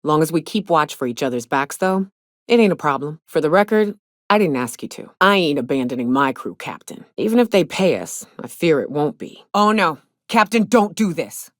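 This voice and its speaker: An actress playing a hard-drinking, trigger-happy but loyal frontier mercenary in a video game, with folksy, salty, sardonic phrasing. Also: female voice, deep voice, animated, normal speed, no room sound, studio quality. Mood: sardonic, eager. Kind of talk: video game character dialogue.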